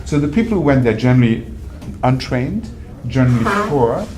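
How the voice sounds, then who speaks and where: A man's voice: medium pitch, sing-song, a little fast. A man speaking on a panel, conversational and anecdotal, often restarting his sentences. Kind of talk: panel talk. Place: event venue. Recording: noisy live event recording.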